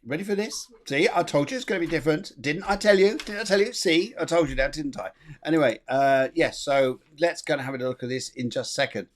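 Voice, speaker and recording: A man's voice: fairly high pitch, fairly quick, a little hiss or hum. A middle-aged man hosting a live online chat show, chatty and rambling, laughing often. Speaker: host.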